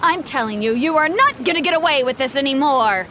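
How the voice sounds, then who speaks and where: A woman's voice: high voice, lively intonation, a little fast, noisy background. A woman voicing an indignant village farmer woman who talks in an exasperated, sarcastic way. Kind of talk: game voice acting. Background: game music.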